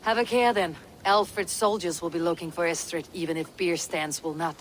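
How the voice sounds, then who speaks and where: A woman playing a steady, commanding Viking warrior leader in a video game, speaking in a measured, resolute way. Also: fairly low pitch, a little hiss or hum, natural voice, rough recording. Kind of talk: dramatic video game acting.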